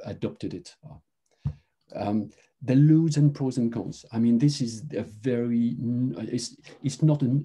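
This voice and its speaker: A middle-aged man with a French accent gives a webinar talk over a video call. His voice is very dark and a little husky. He speaks haltingly and often restarts with "uh" and "um". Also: medium pitch. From France. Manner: halting, many fillers and restarts. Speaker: presenter, mobile engineering manager. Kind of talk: conference webinar presentation.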